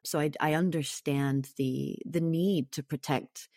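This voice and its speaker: Woman, low register, earnest podcast talk with restarts; dry close mic. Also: steady tone, measured pace, dark tone, dry recording. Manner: earnest and reflective, thinks aloud, repeats words, laughs at herself. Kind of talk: casual podcast conversation.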